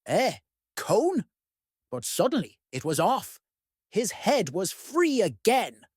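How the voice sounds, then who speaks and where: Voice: medium pitch, big pitch swings, unhurried, a little mellow, breathy edge, dry recording, studio quality. A storyteller reading a children's picture book aloud expressively, addressing young listeners. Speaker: read-aloud host.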